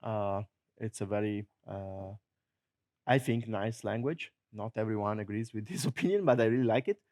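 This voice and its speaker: A man with an Italian accent giving a technical conference talk, joking often, chuckling at his own jokes and filling pauses with many uhs. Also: lowish voice, a little mellow. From Italy. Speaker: conference presenter. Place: conference hall.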